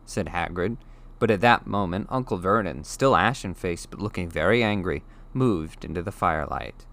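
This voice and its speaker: Expressive, bright-voiced male storybook narrator. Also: on the low side. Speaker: audiobook reader.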